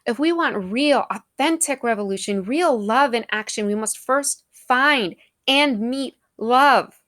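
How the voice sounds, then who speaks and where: A woman's voice: fairly high pitch, slow pace, a bit bright, no echo, studio quality. A young adult woman giving a faith reflection in an earnest, conversational, thoughtful way. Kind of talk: devotional talk.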